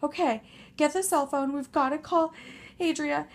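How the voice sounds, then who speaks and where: A woman voicing a flustered, worried young pig in a storybook tale, fretting quickly and breathlessly. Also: high voice, steady tone, pitched above the performer's own voice.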